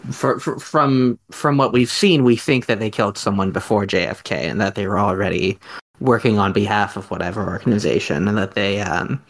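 A man with a somewhat low voice hosts a casual podcast discussion. He speaks like an amateur scholar working out a theory, halting often and rambling as he thinks aloud. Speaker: podcast host.

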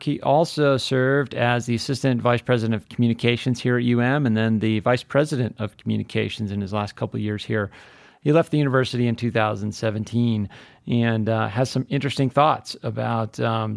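A man's voice: medium pitch, creaky, dry recording. A man hosting a podcast, introducing his guest in an upbeat, chatty way with many fillers. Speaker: podcast host. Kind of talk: casual podcast interview.

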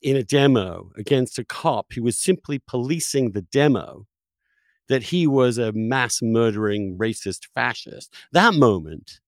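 A man hosting an opinionated conversational discussion, phrasing his points emphatically and deliberately, with pauses for effect. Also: medium pitch, lively intonation, no room sound, studio quality.

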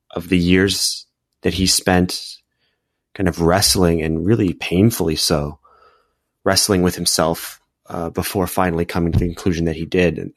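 Very deep-voiced man, earnest and measured. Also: no echo. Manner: earnest, measured, with many fillers. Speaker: podcast panelist. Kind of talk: reflective discussion.

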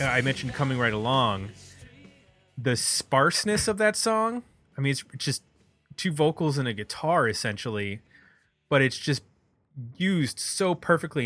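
A man's voice: mid-range voice, pushed and bright, no echo. A man chatting casually about music in an enthusiastic, analytical way, like a podcast host.

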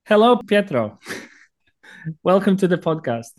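A man's voice: sits high, big pitch swings, slow pace. A man hosting an interview podcast, presenting and talking with his guest. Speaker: podcast host.

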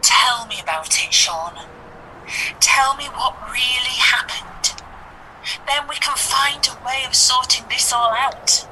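A female detective inspector, played by an actress in an audio drama, concerned and persistent. Her voice is very bright and sharp and fairly high, coaxing and measured, over faint background noise. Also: full character voice, low-quality recording. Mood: probing, gentle.

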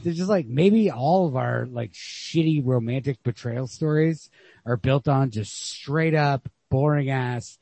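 A man's voice: medium pitch, unhurried, vocal fry, mellow, dry recording. A man in a casual literary chat as a podcast host, musing and thinking aloud with frequent swearing. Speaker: podcast host discussing a short story. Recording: lo-fi podcast recording.

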